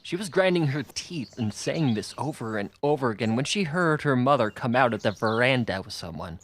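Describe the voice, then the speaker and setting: A man narrates a storybook at a middling pitch, reading from a script as an audiobook reader. Noticeable noise sits in the recording behind him. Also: lively intonation, a little fast, a bit bright.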